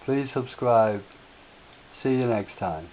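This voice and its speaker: Slow-talking man with a slightly raspy voice. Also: medium pitch. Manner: unhurried step-by-step explaining with filler words. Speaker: tutorial host. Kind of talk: screen-share software tutorial. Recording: tinny lo-fi audio with faint hiss.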